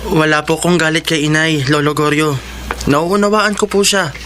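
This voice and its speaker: An actor playing an earnest, dutiful high school boy in a Filipino radio drama, speaking politely. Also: fairly even intonation, moderate pace, lightly acted. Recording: noisy radio drama recording.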